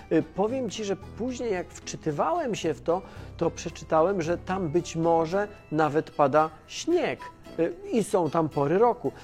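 A man's voice: on the high side, a little mellow. A man playing a caring, easygoing mission controller who chats informally in a children's educational audio drama. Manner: conversational, hesitant, informal. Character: Tomasz, caring, chatty, easygoing male mission controller on Earth.

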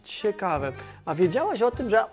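A woman's voice: medium pitch, sing-song, normal speed, a little mellow, faint music behind, lightly acted. A performer voicing a curious, good-natured young man at a flight control center in a children's educational cartoon, easygoing and a little clueless. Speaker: flight control operator character.

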